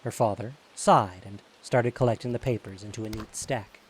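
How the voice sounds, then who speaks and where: A man's voice: on the low side, normal speed, breathy edge. A man narrating an audio drama in a scripted storytelling style. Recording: faint hiss.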